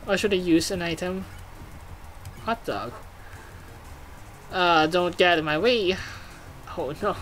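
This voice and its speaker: An excitable gaming host giving casual commentary, exclaiming and swearing while reading game text aloud. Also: mid-range voice, takes it slowly, pauses often, faint music behind.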